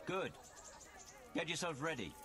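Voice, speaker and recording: An actor playing an officious medieval tournament official and herald in a video game, formal and declamatory. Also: male voice, a little high, lively intonation, a little slow.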